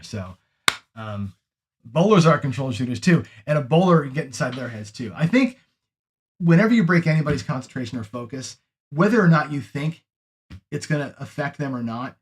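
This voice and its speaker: A middle-aged man hosting a casual live-stream chat. He rambles, restarts often and ends many points with "right?", and his room sounds a little echoey. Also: a little high. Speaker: host. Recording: echoey room, mic off-axis.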